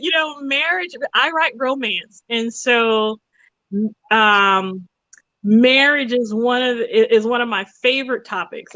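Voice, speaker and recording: A woman's voice: a little high, bright, forceful tone, no echo, lo-fi sound. A woman talking conversationally and explaining things emphatically through anecdotes and analogies.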